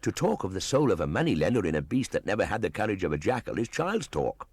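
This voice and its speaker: Older-style reader doing a proud wild jungle kid, husky, voice pushed up, storybook. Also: male voice, lowish voice, sing-song, fast, darkish tone, no room sound, studio quality, voice pushed up, heavily acted. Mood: scornful. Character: young wild boy raised by wolves, proud and curious. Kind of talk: storybook audiobook acting.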